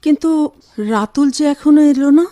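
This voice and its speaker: A woman's voice: sits high, moderate pace, warm tone, lightly acted. An actress playing a caring, politely concerned younger female relative in a Bengali radio drama. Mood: caring, expectant.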